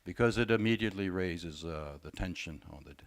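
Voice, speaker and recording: Low-voiced male panelist, measured. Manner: measured, with frequent hesitations. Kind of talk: panel discussion remarks. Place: conference room.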